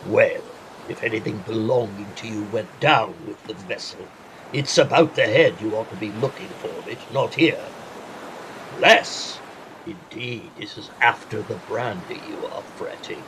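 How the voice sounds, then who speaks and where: A man's voice: lowish voice, unhurried, natural voice. Blustering, hearty squire played by a man, noisy ambience. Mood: jovial. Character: bold hard-drinking old squire, scoffing and hearty. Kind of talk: audio drama acting.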